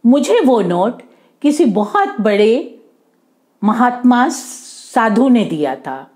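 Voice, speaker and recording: Woman voicing a slow, kindly male boss. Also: on the high side, big pitch swings, a little mellow. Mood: earnest, explanatory. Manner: earnest, polite, explanatory, slow. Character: kindly, earnest male employer entrusting a gift.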